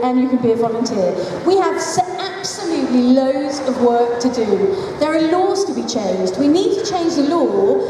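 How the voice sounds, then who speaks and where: A woman gives a chatty conference presentation, with self-deprecating jokes and asides. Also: sits high. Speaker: founder of a support group for single mothers. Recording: echoey hall, mic heard from a distance, noisy.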